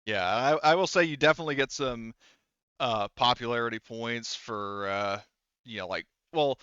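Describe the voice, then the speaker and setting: A man's voice: medium pitch, normal speed, fairly bright tone, smooth, no room sound, lo-fi sound. A man acting as game master, narrating a tabletop roleplay in a casual, rambling, conversational way.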